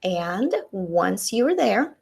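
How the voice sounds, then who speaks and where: Slow husky woman with Russian accent. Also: mid-range voice, sing-song, darkish tone. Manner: careful, deliberate, demonstrates on screen. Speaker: teacher presenter. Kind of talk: instructional webinar demonstration.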